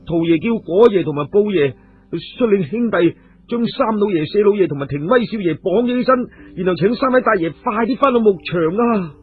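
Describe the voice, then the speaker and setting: Man voicing a flustered Cantonese guard, raised husky voice. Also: sits high, lively intonation, moderate pace, pitched above the performer's own voice, heavily acted. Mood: alarmed. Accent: Hong Kong Cantonese. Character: flustered loyal young male guard bringing urgent news. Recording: tinny radio-like sound with music behind.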